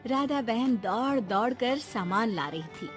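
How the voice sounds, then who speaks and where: A woman storyteller narrates a scripted tale in a dramatic style. Her delivery is expressive and varied in melody, with soft music behind her. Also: fairly high pitch, a little slow.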